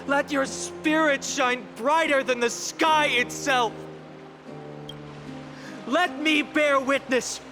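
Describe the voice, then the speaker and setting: An actor playing a gentle, earnest young demigod leader in a fantasy game, speaking in a measured, formal, heartfelt way. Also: male voice, extremely high pitch, fairly even intonation. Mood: solemn, rousing. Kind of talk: scripted fantasy game voice acting. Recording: music behind the voice.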